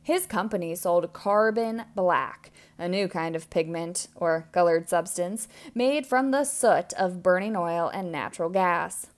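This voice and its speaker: A woman reading a children's picture book aloud in an expressive, theatrical way, stretching out key words for emphasis. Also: lowish voice, a little slow, hardly any pauses. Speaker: children's storybook reader.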